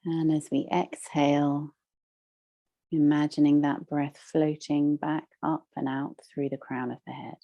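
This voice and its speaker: A woman leading a guided meditation as a teacher, soothing and measured, with long pauses between phrases. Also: sits low, level tone, warm tone, lo-fi sound.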